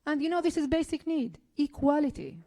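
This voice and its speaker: A woman from Israel, a politician speaking on a discussion panel. She talks with earnest passion, often restarting her sentences, in a voice pitched a touch above the usual. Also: fairly quick, mellow. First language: Arabic. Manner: impassioned, earnest, frequent restarts.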